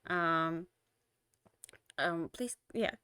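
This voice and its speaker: A young woman streamer winds down her stream in loose, rambling chat, full of ums and restarts. She is tired and her voice is fading, yet it still comes through smooth and clear. The sound is close and dry, with no room around it. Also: on the low side, drawn-out words, pushed and bright, no echo. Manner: rambling, many fillers and restarts. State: losing her voice. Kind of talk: casual end-of-stream chat.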